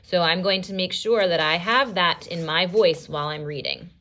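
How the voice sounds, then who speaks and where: A woman teacher reading a story aloud and explaining as she goes, in an expressive, instructional style. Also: lowish voice, normal speed.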